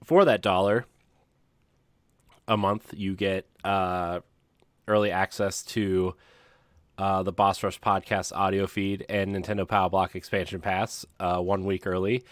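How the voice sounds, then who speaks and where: A man co-hosting a podcast in casual chat, talking in a rambling, halting, self-deprecating way. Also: deep voice, fairly even intonation, a bit bright, dry recording, studio quality. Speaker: podcast co-host.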